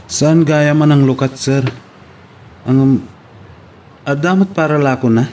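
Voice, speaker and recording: A deferential male employee with a mellow, dark voice and an Ilocano accent. He speaks in radio drama style, with faint noise on the track. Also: on the high side, fairly even intonation, slow pace. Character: deferential male employee serving his boss.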